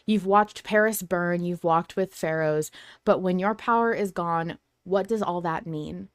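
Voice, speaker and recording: Female podcast host, lower register, dry clean sound, casual fan-discussion delivery with enthusiasm. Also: unhurried, dry recording.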